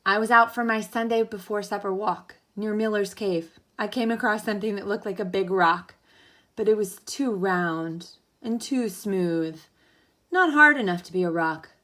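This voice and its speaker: Woman, elementary teacher, bright medium voice, animated read-aloud delivery with dramatic emphasis. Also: mid-range voice, moderate pace. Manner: encouraging, checks understanding with questions. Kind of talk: expressive picture-book read-aloud with teaching asides.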